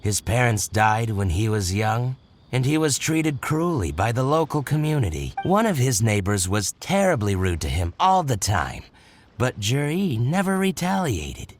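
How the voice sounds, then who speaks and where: Theatrical merchant with wildly swinging pitch. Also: male voice, sing-song, measured pace. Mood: musing. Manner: haggling, fussy, dramatic. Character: shrewd, theatrical, possessive male merchant, self-interested. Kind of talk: video game character voice acting.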